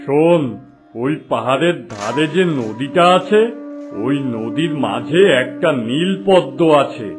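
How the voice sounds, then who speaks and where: A man's voice: medium pitch, expressive, slow. A man playing a cruel, scheming male sorcerer in a cartoon, giving orders in a commanding tone. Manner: commanding, plotting. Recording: music under the voice.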